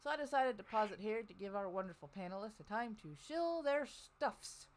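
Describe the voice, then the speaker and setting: A woman's voice: medium pitch, animated, fairly quick. A woman hosting a casual livestream, speaking in an informal, wry way. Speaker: host.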